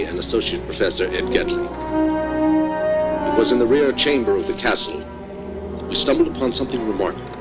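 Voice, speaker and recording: An actor playing a formal history professor logging sinister discoveries, measured and portentous, in a horror film. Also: male voice, lowish voice, natural voice. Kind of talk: scripted horror film recorded-log narration.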